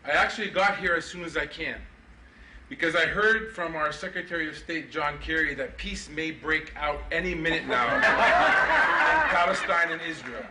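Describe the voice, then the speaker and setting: A man's voice: fairly high pitch, moderate pace, noisy background. Sarcastic man giving a conference keynote. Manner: sarcastic, ironic delivery; animated, mock-indignant questions. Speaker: keynote speaker. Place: conference hall. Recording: echoey hall, mic from far off.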